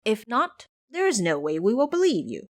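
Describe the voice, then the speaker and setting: A woman's voice: a little high, big pitch swings, normal speed, no room sound, studio quality, pitched above the performer's own voice. A woman voicing a gullible, eager male imp servant in an audio storybook. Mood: doubting.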